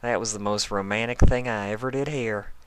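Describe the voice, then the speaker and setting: A man's voice: lowish voice, steady tone, a little fast, slight background noise, pitched above the performer's own voice, close to an everyday voice. A man voicing a kindly female farm pony from a cartoon story, folksy and earnest.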